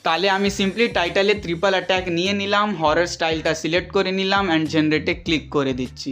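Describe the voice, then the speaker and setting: A man presenting a step-by-step software tutorial in voice-over, mixing English words into his speech. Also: high voice. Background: background music.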